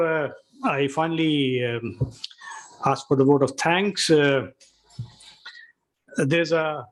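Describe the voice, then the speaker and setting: A man, head of a think tank, giving formal concluding remarks in an earnest, halting way. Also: a little high, poor audio. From Pakistan. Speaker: think tank acting president.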